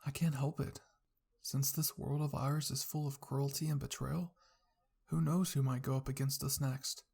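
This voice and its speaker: A narrator reading a scripted fan fiction story in a husky, creaky voice, in the style of ASMR roleplay. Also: medium pitch, flat delivery, moderate pace, a little mellow.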